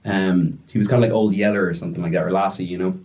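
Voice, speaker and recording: A young man telling a story as a podcast guest, joking and rambling with quick asides. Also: low voice, steady tone, darkish tone, highs cut off. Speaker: podcast guest, music producer and DJ. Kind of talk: casual storytelling chat.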